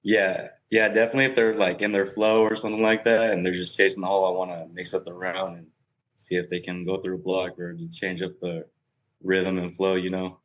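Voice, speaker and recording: A man's voice: deep voice, little pitch movement, brisk, poor audio. A young man speaking casually as an interview guest and pro player. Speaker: guest, professional cornhole player.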